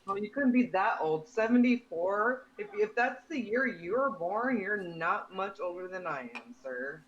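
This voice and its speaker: A man's voice: sits high, expressive, measured pace, a bit bright, dull audio. A man in his forties, a guest on a casual live-stream chat, joking and laughing easily. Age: 40s.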